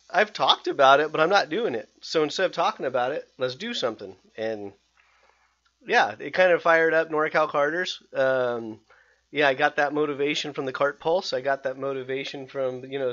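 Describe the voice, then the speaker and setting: Excitable rambling man, dull lo-fi sound. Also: mid-range voice, normal speed. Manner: rambling, excitable, many restarts and fillers. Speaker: podcast host. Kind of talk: casual podcast chat.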